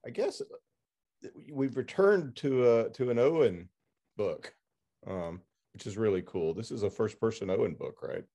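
Male podcast interviewer, creaky and mellow, informal, with halting, meandering delivery. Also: fairly low pitch, expressive. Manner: halting, rambling phrasing. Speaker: podcast host interviewing an author. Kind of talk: casual interview.

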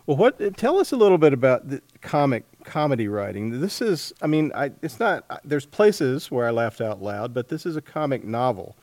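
A man hosting a casual interview, speaking haltingly as he thinks aloud. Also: mid-range voice, very animated, darkish tone, no room sound. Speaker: interviewer, host.

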